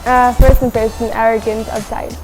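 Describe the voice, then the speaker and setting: An eighteen-year-old woman, a university student, giving short, low-key answers in an interview. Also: flat delivery, normal speed, over music, poor audio. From South Africa (Eastern Cape). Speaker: first-year social science student, interviewee. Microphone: headset.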